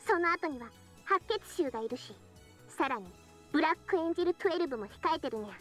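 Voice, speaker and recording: Anime game catgirl, squeaky high, rapid-fire, cutesy, playful, earnest, music behind. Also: animated. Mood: dramatic, playful. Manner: cutesy, ends sentences with a cat-like nya. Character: cute playful catgirl, young, earnest co-conspirator. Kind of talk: Japanese visual novel voice acting.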